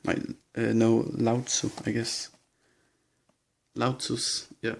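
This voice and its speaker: Halting young Danish man, gravelly. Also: medium pitch, monotone. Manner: hesitant, halting listing with nervous laughs. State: nervous and shaky. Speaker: vlogger. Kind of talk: casual bookshelf tour.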